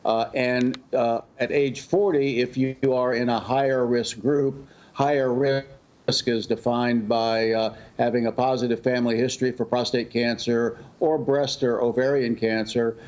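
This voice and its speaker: Halting, formal male doctor. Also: medium pitch, little pitch movement, a little mellow. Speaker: doctor, cancer institute director, interview guest. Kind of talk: measured expert interview answers.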